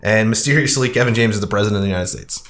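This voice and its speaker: A man's voice: fairly low pitch, very fast, fairly bright tone. A man hosting a podcast, casually recapping a film in a wry, critical, conversational way.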